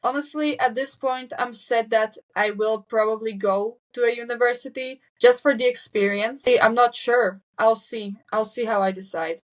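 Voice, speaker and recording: A teenage girl whose first language is Slovak talks in English with a fairly high pitch. She answers openly, pausing to recheck facts and restarting her sentences. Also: steady tone, rough recording. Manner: candid, self-correcting, quick nervous laughs. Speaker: guest. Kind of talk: casual interview chat.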